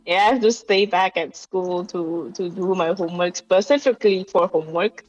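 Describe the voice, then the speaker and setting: A young woman from Malaysia chatting casually as a guest, often halting and repeating words. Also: lowish voice, darkish tone, dull audio, soft background music. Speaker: podcast guest. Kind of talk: casual chat.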